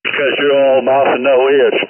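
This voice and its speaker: A man's voice: medium pitch, level tone, normal speed. A man in his eighties chatting as a CB radio operator in a folksy, boastful way, with broadcast-style catchphrases, over a radio transmission. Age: 80s. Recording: narrow tinny CB radio, static hiss.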